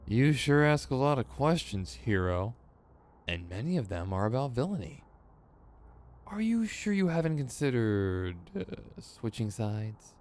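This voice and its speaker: A man's voice: mid-range voice, big pitch swings, unhurried, darkish tone. A man playing a theatrical, sardonic supervillain in a scripted ASMR roleplay, exasperated and smug, with mock menace and a grumbling, rambling streak.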